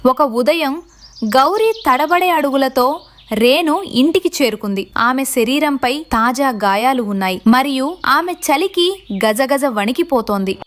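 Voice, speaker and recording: A woman's voice: high voice, a little slow. A woman giving scripted narration as the storyteller of a tale.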